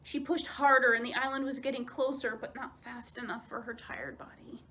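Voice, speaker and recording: A woman narrating a children's story aloud as a storyteller, in an expressive, dramatized way. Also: high-pitched, moderate pace, a little hiss or hum.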